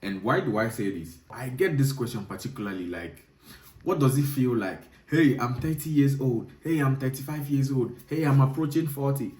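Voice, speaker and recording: Low-pitched man, thirties, emphatic advice monologue, repeats key points, echoey room, distant mic. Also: expressive, moderate pace, darkish tone. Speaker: video host, software developer. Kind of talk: direct-to-viewer advice monologue. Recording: roomy echo, distant mic.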